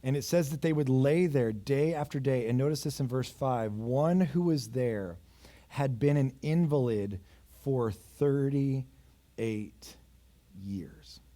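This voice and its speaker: A pastor in his thirties delivers a sermon in a mellow, dark-toned voice. He tells the story with urgency, then drops low and close, and slips in a wry joke about himself now and then. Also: slow pace. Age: 30s. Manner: storytelling preacher, swings between raised urgency and quiet intimacy; self-deprecating asides. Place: church.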